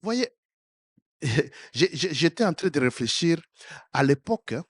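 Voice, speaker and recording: Slow, high-voiced French-speaking pastor preaching. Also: male voice, sing-song, dry recording, studio quality. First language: another African language. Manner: repeats words and restarts, swells to loud exhortations then drops quiet. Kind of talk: sermon.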